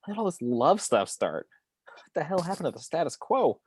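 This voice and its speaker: A man's voice: a little high, big pitch swings, moderate pace, darkish tone, husky. A man playing a cynical, cocky angel of death in a film script table read, arguing in a slangy, opinionated way. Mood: argumentative.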